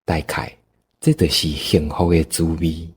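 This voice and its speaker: A man's voice: very deep voice, steady tone, unhurried, noisy background. A man with a Taiwanese accent narrating a scripted radio drama as a storyteller.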